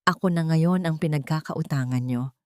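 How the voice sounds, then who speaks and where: A cold and calculating wealthy matriarch, acted out by a woman narrator for an audio drama. The delivery is deliberate and threatening, in a lowish female voice with a dry, close sound. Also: dark tone, clean tone, dry recording, lightly acted. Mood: cold menace. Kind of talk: Tagalog audio drama storytelling.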